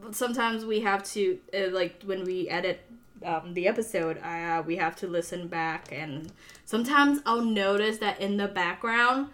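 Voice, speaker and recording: A woman's voice: medium pitch, steady tone, normal speed, a bit bright. A woman who speaks English as a second language, hosting a casual, chatty podcast in an informal manner. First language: not English. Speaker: podcast host.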